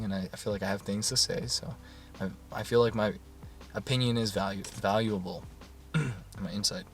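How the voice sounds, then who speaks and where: A man talking in a soft, soothing voice in a casual rambling monologue, full of fillers and restarts. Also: on the low side. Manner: soft-spoken, meandering, many fillers and restarts. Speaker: YouTuber.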